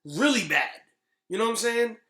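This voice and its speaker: Bright, blunt man on a podcast. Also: high voice, animated, moderate pace, echoey room. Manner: blunt, slangy, emphatic, swearing. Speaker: podcast host. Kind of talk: casual podcast chat about video game movies.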